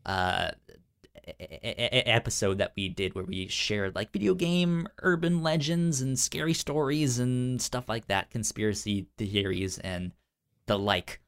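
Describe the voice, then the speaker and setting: A man co-hosting a casual podcast, speaking informally and haltingly with a stutter that repeats sounds and syllables. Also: fairly low pitch, fairly bright tone, clear, resonant tone. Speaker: podcast co-host.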